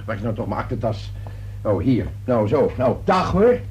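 Male actor as defensive, impatient father, middle-aged, lively pitch swings, softish tone, radio drama with faint noise. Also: normal speed, highs cut off, lightly acted. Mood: defensive, irritated. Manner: gruff, impatient, blustering. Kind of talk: radio play acting. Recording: noisy old radio play recording.

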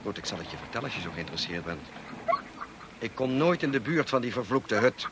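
An actor playing a moody, short-tempered middle-aged husband in a Dutch radio drama, with a curt and commanding way of talking. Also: male voice, mid-range voice, very animated, a little fast. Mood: bitter, defensive. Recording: noisy old radio play recording.

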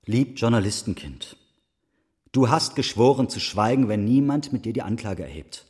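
A man's voice: mid-range voice, animated, moderate pace, darkish tone, slightly rough. An actor playing an imperious male judge, speaking in a pompous, declamatory manner in a radio play. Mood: solemn. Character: imperious, theatrical male judge presiding over a courtroom. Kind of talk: German radio play acting.

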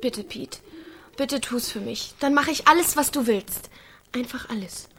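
A woman's voice: fairly high pitch, a little slow, pushed and bright, a little hiss or hum. A young woman playing a manipulative village teenage girl in a naturalistic radio drama, needy, coaxing and bent on revenge. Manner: insistent, coaxing.

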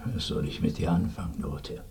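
A weary village priest speaks with grave resignation in a radio drama. His voice is extremely deep and mellow, heard slightly away from the microphone. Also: fast talker, distant mic. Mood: weary, resigned.

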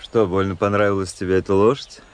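An actor playing a cool, cunning young officer, a teasing and self-assured tempter, in a radio drama. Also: male voice, low voice, level tone, quick pace, a little hiss or hum, natural voice. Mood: coaxing.

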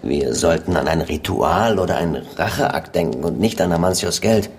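Casting: dutiful detective's deputy, low gravelly voice, plain reporting tone, radio play. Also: male voice, lively intonation, normal speed, slight background noise. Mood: matter-of-fact. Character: dutiful junior male police detective, matter-of-fact. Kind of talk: radio drama dialogue.

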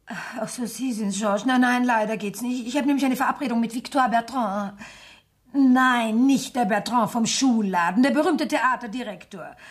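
A woman acting a vain middle-aged chorus singer in a radio play. Her voice is fairly high, and she speaks with affected self-importance. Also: normal speed, close to an everyday voice. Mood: self-important. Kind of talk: German radio drama acting.

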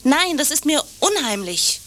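A woman's voice: sits high, very animated, a little slow, a bit bright, full character voice. A woman playing an anxious, clinging, lovestruck landlady in a naturalistic radio drama, insistent and repeating her short phrases. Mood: anxious, pleading.

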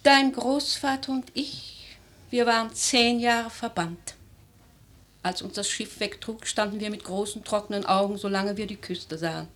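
Radio drama grandmother: aristocratic old lady, imperious yet life-loving, middle-pitched, stagey lyrical phrasing. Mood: grave, remembering. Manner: theatrical, measured, lyrical, with emphatic swells and hushed phrases. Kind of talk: literary German radio drama acting.

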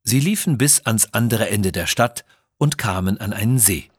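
A man narrating a scripted children's audio story with measured, expressive reading. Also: lowish voice, husky, no room sound.

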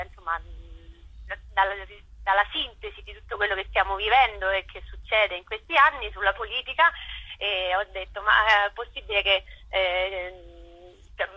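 Over a dull telephone line, a woman gives a casual interview. Her voice is husky and bright, and she often stops to rephrase her thoughts. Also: medium pitch, no room sound. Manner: halting, self-correcting, often rephrasing. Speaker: actress and playwright, interview guest. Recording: dull, band-limited phone line.

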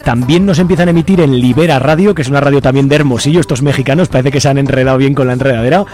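A man's voice: medium pitch, very fast, a little mellow. A man with an accent from Spain co-hosting live community radio in an informal, chatty way. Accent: Spanish from Spain. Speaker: radio co-host. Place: radio studio, live broadcast. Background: music playing behind.

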